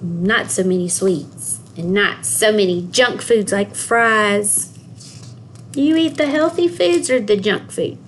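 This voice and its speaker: A woman's voice: mid-range voice, slight background noise. A woman working as a school guidance counselor, expressively reading a picture book aloud to young children. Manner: expressive, pausing to ask the children questions.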